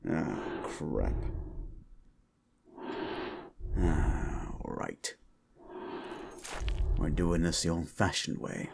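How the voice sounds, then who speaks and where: A man playing a gruff, wisecracking demon-born monster hunter in an action horror audio roleplay, talking in blunt tough-guy slang. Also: deep voice, noisy background, exaggerated voice. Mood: grim, determined. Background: music.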